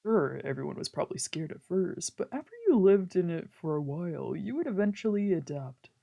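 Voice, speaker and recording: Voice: medium pitch, lively intonation, fairly quick, creaky, dark tone. A streamer reading a visual novel's narration aloud, occasionally stumbling over a word. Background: background music from a video game.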